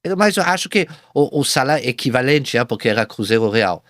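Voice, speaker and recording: A man speaking Portuguese as a second language, a guest telling his business story in a halting way, with many repeated false starts. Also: mid-range voice, expressive, fairly bright tone, dry recording, clean studio sound. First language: not Portuguese. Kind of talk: casual interview storytelling.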